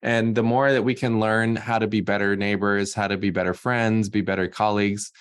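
Give me an earnest man from Massachusetts with a fairly low voice, recorded dry and close. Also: flat delivery, brisk, clean tone, no echo. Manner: earnest, thinks aloud with restarts. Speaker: library guest. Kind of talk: casual panel talk.

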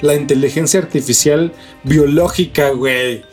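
A man's voice: fairly high pitch, moderate pace, darkish tone. Mexican man, slangy podcast co-host over music. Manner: slangy, swearing. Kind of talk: news reading mixed with casual chat. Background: background music.

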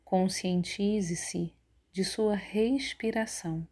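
Lady doing guided meditation, super slow n calm, soft dark tone. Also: mid-range voice. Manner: calm, measured, instructive. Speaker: yoga nidra instructor. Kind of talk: guided relaxation meditation narration.

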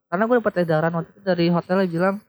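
A young man talks casually, rattling along at a quick clip. His voice sits a little high, and he jokes and laughs often. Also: steady tone, darkish tone. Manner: fast, joking, laughs often. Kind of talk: casual chat.

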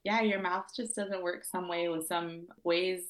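A woman's voice: lowish voice, steady tone, unhurried. A young woman from Oklahoma, recovering from a sore throat, talking casually as a podcast guest and cultural educator. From Oklahoma, United States. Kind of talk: casual conversational interview.

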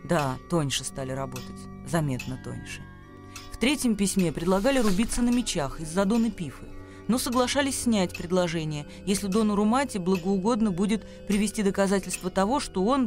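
Male narrator for radio play, notably high register, literary storytelling, light music behind. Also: expressive, a little fast, a little mellow.

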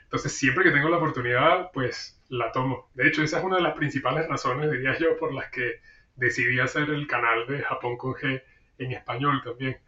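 A man's voice: mid-range voice, steady tone, a little fast, pushed and bright, roomy sound, far from the mic, highs cut off. A man being interviewed over a video call, answering thoughtfully with many fillers and restarts. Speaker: guest. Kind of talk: casual interview.

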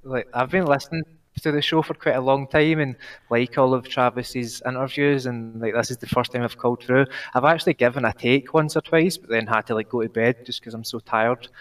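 A nervous man with a Glaswegian accent, calling in to give an esports prediction, talking fast while trying to slow down and pronounce clearly. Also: mid-range voice, steady tone, fairly bright tone, distant mic. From Glasgow, Scotland. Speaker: caller.

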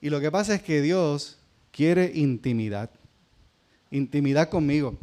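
A middle-aged Puerto Rican pastor preaches in a high, light voice. He keeps it conversational and keeps putting questions to his congregation. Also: lively intonation, measured pace, dark tone. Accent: Puerto Rican Spanish. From Puerto Rico. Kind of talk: sermon.

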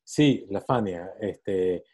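Un hombre de unos sesenta años, productor de cine invitado a un podcast, que piensa en voz alta con muchas muletillas. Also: male voice, sits low, animated, very slow, darkish tone. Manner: thinking aloud, many fillers. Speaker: film producer guest. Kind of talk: casual podcast interview.

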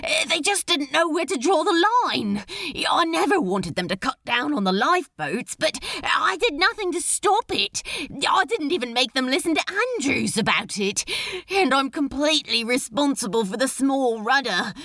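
A woman voicing a male demon, pleading frantically and rising to shouts as he confesses. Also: high voice, lively intonation, moderate pace, dry recording, studio quality, full character voice. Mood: anguished. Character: anguished, guilt-stricken male demon.

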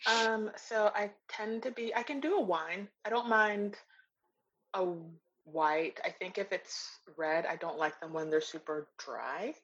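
Chatty woman host, husky voice. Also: mid-range voice, a little slow, fairly bright tone. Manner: friendly, chatty, uses y'all. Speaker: podcast host. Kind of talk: casual interview chat. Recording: dull lo-fi sound.

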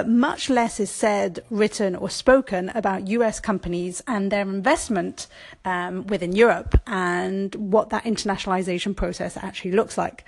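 Woman hosting a chatty solo podcast. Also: medium pitch. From born in France, raised in Brussels. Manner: conversational, thoughtful, with frequent ums and self-corrections. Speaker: podcast host. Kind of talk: solo podcast monologue on business.

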